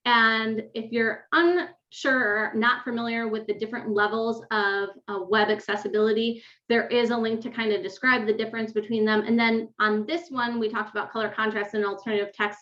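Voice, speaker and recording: A woman with a loud voice presenting a webinar on course design, explaining step by step with fillers, on a desk microphone in a home office. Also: fairly high pitch, fairly even intonation, moderate pace, few pauses, reverberant. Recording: dull lo-fi webinar audio.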